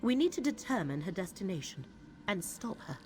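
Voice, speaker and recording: An actress playing a grave, troubled woman mystic in a fantasy video game, speaking in a measured, formal way. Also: medium pitch, big pitch swings, dark tone, faint music behind, lightly acted. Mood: grave, urgent. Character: grave, troubled woman mystic serving an eldritch fate god.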